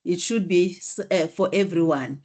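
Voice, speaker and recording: A woman student speaking in a class discussion, polite and measured. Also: fairly low pitch, dark tone. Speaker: university student.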